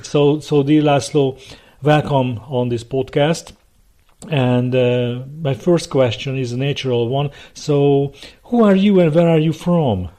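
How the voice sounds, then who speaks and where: A man's voice: mid-range voice, a little slow, dark tone. A man hosting a history podcast, formal and earnest, presenting and asking questions. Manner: formal, earnest, some hesitant fillers when asking. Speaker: podcast host. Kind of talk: scripted intro and interview questions.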